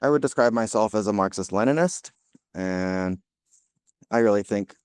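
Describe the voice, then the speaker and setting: Low smooth-voiced American man, hesitant. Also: moderate pace, no room sound. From United States. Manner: hesitant, many fillers and restarts. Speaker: guest, laundromat owner. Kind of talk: casual interview answers.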